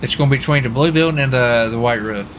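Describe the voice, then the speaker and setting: A man with a bright, forward voice talks casually as he roleplays in a video game. His speech is informal and peppered with folksy exclamations, with faint game ambience behind him. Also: medium pitch, fast talker, slight background noise. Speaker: gamer roleplaying a US marshal on stakeout.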